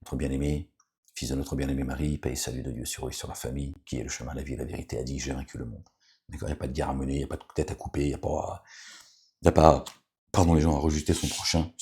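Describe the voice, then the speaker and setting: Very deep-voiced man preaching casually. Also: level tone, rapid-fire, a little mellow. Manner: rambling, repetitive, frequent fillers and asides to listeners; preachy, admonishing. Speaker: religious commentator reading scripture. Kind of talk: informal spoken sermon with scripture reading.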